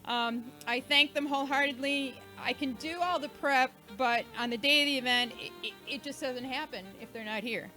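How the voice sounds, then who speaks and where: Female event host, high and bright, chatty and emphatic, thanking a crowd informally. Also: moderate pace, hardly any pauses, soft background music. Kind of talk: informal thank-you speech to a live audience.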